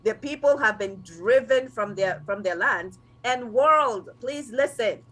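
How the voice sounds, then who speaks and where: A woman hosting a talk show, measured and steady as she works through points. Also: a little high. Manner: steady, measured, leads the agenda. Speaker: host.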